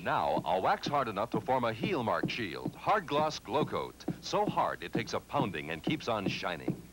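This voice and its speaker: A man's voice: lowish voice, huge pitch swings, moderate pace, rough recording. An American man delivering a 1960s television advert voice-over. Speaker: commercial announcer. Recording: old television advert with loud music.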